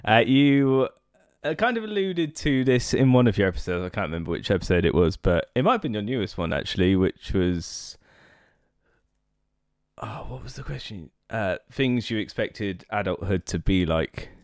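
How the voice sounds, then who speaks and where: Thirties male host, lowish but very animated delivery, dry close sound, quick to laugh. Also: no echo. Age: 30s. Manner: laughs easily, asks friendly questions. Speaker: podcast host. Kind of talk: casual podcast interview.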